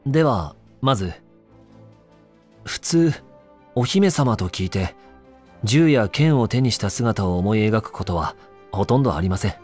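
An actor playing a courteous, inquisitive newspaper reporter in a Japanese video game, a man with a measured way of talking. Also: fairly low pitch, lively intonation, darkish tone, breathy edge, faint music behind. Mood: courteous, probing. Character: courteous, probing young newspaper reporter interviewing royalty.

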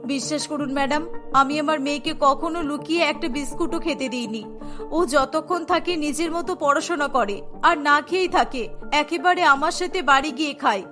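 A woman's voice: sits high, normal speed, fairly bright tone, soft background music, voice pushed down. A woman voicing a poor, anxious working mother in a cartoon, humble and worried. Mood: tearful pleading. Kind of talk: cartoon dialogue.

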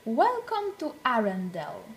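A woman reading a storybook aloud for young children, with deliberate, expressive phrasing. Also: fairly high pitch, background noise.

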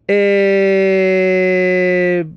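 A man's voice: high-pitched, completely flat delivery, drawn-out words, smooth, no room sound, dull audio. Dry-sounding, foul-mouthed Italian man. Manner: informal, heavily profane. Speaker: game streamer. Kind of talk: casual live gameplay commentary with asides to chat.

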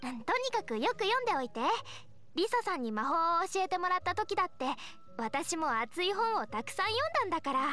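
A woman playing a cheerful, responsible young knight scout in an anime game, explaining things in an energetic, friendly way. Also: very high-pitched, fairly quick, faint music behind, exaggerated voice. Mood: confident, instructive. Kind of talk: Japanese anime game dialogue.

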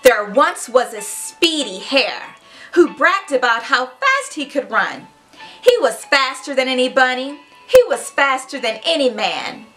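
High, animated woman reading to children. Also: unhurried, soft background music, rough recording. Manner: expressive, clearly paced teacherly delivery. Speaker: children's read-aloud host. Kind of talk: storybook narration to young children. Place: furnished room indoors.

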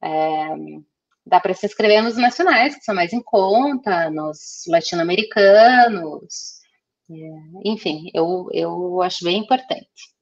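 A woman's voice: fairly low pitch, expressive, takes it slowly. A young woman designer talking casually on a video call over a headset, chatty and full of fillers and restarts. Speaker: guest designer. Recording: slightly dull lo-fi call audio.

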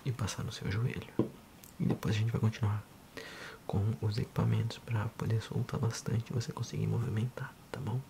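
A man in his thirties with a Brazilian accent, speaking softly and unhurriedly in an ASMR role-play as a physiotherapist addressing the listener. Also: on the low side, level tone, vocal fry, background noise. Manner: soft, unhurried, hushed.

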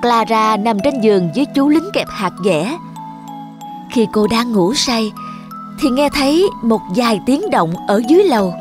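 A woman's voice: on the high side, animated, unhurried. A woman narrator reading a scripted children's fairy tale as a storyteller. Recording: voice-over with music behind. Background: clear background music.

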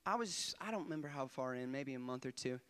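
Excitable young man preaching a testimony. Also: medium pitch, fast, clear, resonant tone. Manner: excitable, laughing, swings from quiet to loud. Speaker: guest preacher. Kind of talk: testimony sermon. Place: church stage before a congregation. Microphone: handheld microphone.

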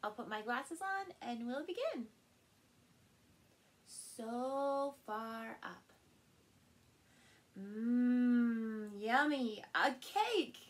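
A middle-aged woman reads a picture book aloud to small children in an expressive, playful way, recorded indoors by a camera a short distance in front of her. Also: on the high side. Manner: animated, slow, with playful sound words and exclamations. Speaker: storyteller and channel host. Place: small furnished room indoors.

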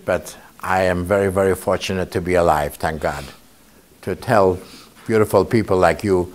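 A man's voice: deep voice, steady tone, normal speed. Older man talking to students. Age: 70s. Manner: conversational, often checks in with listeners by asking "okay?". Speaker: Holocaust survivor speaking to students. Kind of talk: informal testimony talk to a student audience. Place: indoor museum room.

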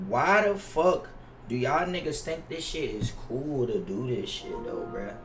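A man's voice: mid-range voice, expressive, unhurried, music in the background. A young American man chatting casually to livestream viewers as a game streamer, slangy and profane, often repeating himself. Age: young adult. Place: indoor room at a gaming setup. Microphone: gaming headset mic. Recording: roomy, distant headset mic with noise.

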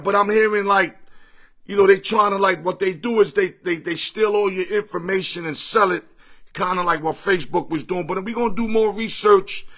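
A middle-aged man with a hoarse, strained voice, hosting a casual sports picks livestream from his home, greeting viewers by name in easygoing slang. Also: high-pitched, a bit bright. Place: home room full of sports memorabilia with a TV. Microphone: phone or webcam at arm's length.

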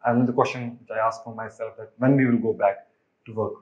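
A man working as cruise ship crew, speaking hesitantly with many pauses and fillers in a documentary interview. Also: fairly low pitch, fairly even intonation, echoey room, lo-fi sound.

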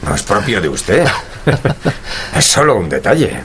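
Polite, inquisitive gentleman, very animated. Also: low voice, a little slow, heavily acted. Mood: hesitant, kindly. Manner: polite, expressive, formal. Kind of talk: radio drama acting.

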